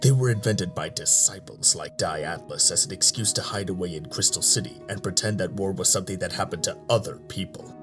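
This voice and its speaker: A man playing an excitable, skeptical robot scholar in an audio drama, emphatic and questioning. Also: low-pitched, brisk, over music, lightly acted. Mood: dismissive.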